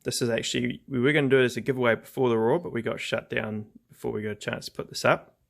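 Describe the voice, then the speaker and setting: A man's voice: mid-range voice, fairly even intonation, fast talker, creaky, fairly bright tone. A man giving a casual, hands-on craft demonstration, explaining each step in an informal, laid-back way. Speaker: craft tutorial host.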